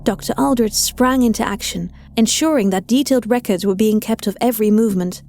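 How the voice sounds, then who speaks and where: A woman's voice: fairly high pitch, a little fast, darkish tone, slight background noise. A woman reading scripted audio fiction as a story narrator, with music behind her.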